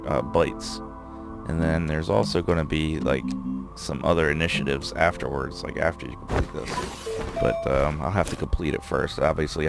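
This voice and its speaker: A man giving casual let's-play commentary, thinking aloud and rambling with fillers as he goes, his voice going a bit hoarse. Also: deep voice. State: losing his voice. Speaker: gameplay commentator.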